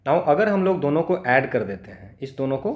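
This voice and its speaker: A man's voice: on the high side, expressive, a little fast. A man with an Indian accent teaching step by step as a maths tutor, in an instructional way, repeating phrases and checking understanding with short tags. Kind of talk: step-by-step exam lesson, explaining worked solutions. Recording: echoey, roomy sound.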